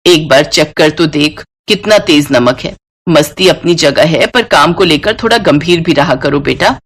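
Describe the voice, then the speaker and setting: A woman's voice: deep voice, lively intonation, a little slow, husky, exaggerated voice. A woman voicing an older Indian mother-in-law in a storybook tale, firm and motherly, given to scolding. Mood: stern.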